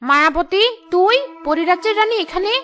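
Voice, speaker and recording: A woman's voice: extremely high pitch, normal speed, fairly bright tone. A woman voicing a menacing, taunting witch in a cartoon fairy tale. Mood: defiant surprise. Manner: threatening, taunting. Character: menacing female witch hunting a fairy child. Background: music.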